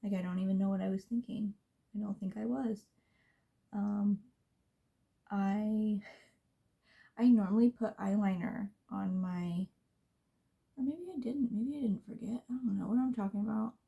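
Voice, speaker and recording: A young woman with a scratchy, gravelly voice rambles casually through her makeup routine. Her tone is mellow and dark, and she laughs at herself and trails off into soft, half-whispered asides. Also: medium pitch, steady tone, measured pace, long pauses, lo-fi sound. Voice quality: scratchy smoker's voice. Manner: rambling, chatty, self-deprecating, drifts into soft near-whispers. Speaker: beauty vlogger. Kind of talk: casual chat while doing makeup.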